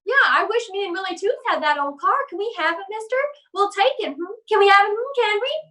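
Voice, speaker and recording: A woman's voice: very high-pitched, expressive, quick pace, bright, forceful tone, full character voice. A woman playing an inquisitive little neighbourhood girl in a classic radio comedy, childlike and pestering. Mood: eager. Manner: childlike, repetitive questioning.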